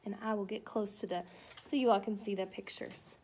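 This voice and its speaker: A woman's voice: mid-range voice, steady tone, fairly quick, a little mellow. A woman schoolteacher chatting to young pupils in a child-directed way, asking questions during a read-aloud.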